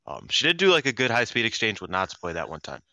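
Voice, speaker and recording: Sick, nasal male co-host with a low, bright voice on a dry close mic; animated casual banter. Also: fast talker, dry recording. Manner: animated, jokey, swears casually. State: congested, sick. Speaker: podcast co-host. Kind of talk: casual chat.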